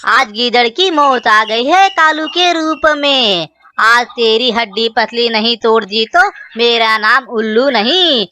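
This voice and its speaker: Woman voicing a high, bright, boastful owl bully. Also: expressive, takes it slowly, exaggerated voice. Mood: boastful, threatening. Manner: taunting, bragging. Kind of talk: children's cartoon story dialogue.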